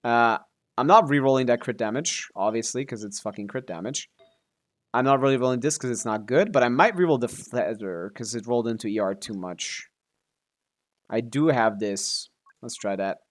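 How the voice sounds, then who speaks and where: A man's voice: medium pitch, normal speed. A man casually commentating on a game livestream, swearing freely as he reacts aloud and talks to himself and his chat. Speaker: game streamer.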